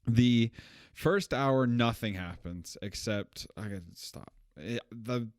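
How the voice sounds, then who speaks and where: Young male podcast co-host, casual film chatter, smooth lowish voice, dry close mic, loose rambling delivery. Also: slow pace, darkish tone, no echo. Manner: rambling, self-correcting, laughs at own jokes. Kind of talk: casual film review chat.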